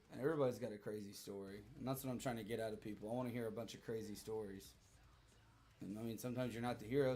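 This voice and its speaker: A man in his thirties with a hoarse voice, chatting casually and profanely with his livestream audience. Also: lowish voice, darkish tone, slight background noise. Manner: rambling, profane, joking with chat.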